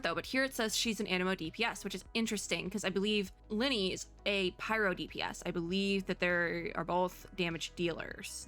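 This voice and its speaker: A woman's voice: medium pitch, a bit bright. A young woman doing casual live reaction commentary as a streamer, chatty, enthusiastic and informal. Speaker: streamer reacting to game trailers.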